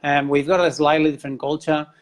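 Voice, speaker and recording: A man's voice: fairly high pitch, flat delivery. Spanish-accented man telling his story earnestly. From Spain, Catalonia. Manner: earnest, halting restarts, repeats words. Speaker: interview guest. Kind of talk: casual interview storytelling.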